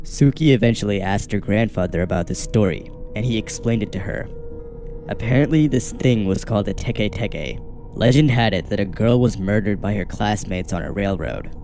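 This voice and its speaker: A man narrating a first-person horror story in a steady, measured, scripted way. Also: fairly low pitch. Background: faint music.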